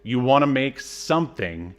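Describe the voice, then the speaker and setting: A man's voice: medium pitch, big pitch swings, moderate pace. A man co-hosting a casual film discussion podcast, talking in a hesitant, rambling, sardonic way. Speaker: podcast co-host.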